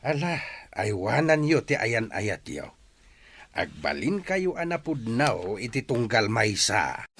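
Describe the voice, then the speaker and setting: A man playing a gentle, wise old father in an Ilocano audio drama, husky and counselling. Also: medium pitch, very animated, takes it slowly, full character voice. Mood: gentle, advising. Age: older.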